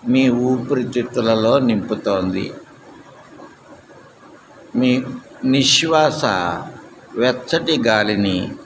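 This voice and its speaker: Very slow-speaking man guiding meditation over noise. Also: mid-range voice. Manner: calm, deliberate, with long pauses. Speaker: yoga nidra instructor. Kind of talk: guided meditation instruction. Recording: clear steady background noise.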